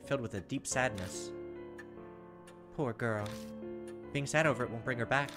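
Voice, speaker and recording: A man's voice: mid-range voice, normal speed. A man hosting a gaming video, reading a visual novel aloud with casual, profane asides. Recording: music behind the voice. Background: game music.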